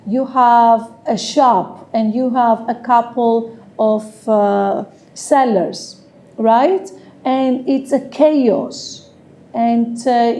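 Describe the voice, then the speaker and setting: A woman with a Greek accent giving a tarot reading, speaking hesitantly and searching for words with frequent pauses and fillers. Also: fairly high pitch, warm tone. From Greece. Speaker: tarot reader. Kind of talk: slow, halting tarot reading with many fillers.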